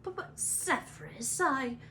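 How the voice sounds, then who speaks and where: A woman's voice: high-pitched, big pitch swings, slow, crowd noise, voice pushed up, heavily acted. A woman voicing a nervous, timid male professor with a heavy stutter in a storybook read-aloud. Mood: frightened pleading. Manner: heavy stammer, faltering.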